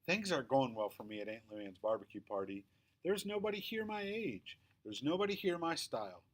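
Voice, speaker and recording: A man's voice: medium pitch, sing-song, fairly quick. Man reading a children's book aloud. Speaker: read-aloud storyteller. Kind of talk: scripted children's book read-aloud, first-person narration.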